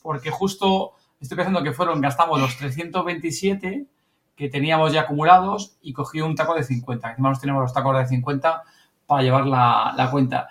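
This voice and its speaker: A man's voice: fairly high pitch, fairly quick, a bit bright. A man from Spain with a Spanish accent, chatting casually and colloquially as a podcast host, rambling as he goes. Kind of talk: casual chat recounting events.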